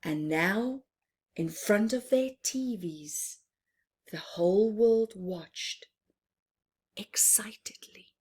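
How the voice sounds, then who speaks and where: A woman reads aloud as a story time host for small children. Her pace is very slow and deliberate, with a mid-range pitch and pauses between short phrases. The delivery is expressive, often easing down toward a hush. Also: slightly rough. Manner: deliberate phrasing with pauses, often dropping to a hushed near-whisper. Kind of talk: expressive read-aloud for young children, with chatty asides.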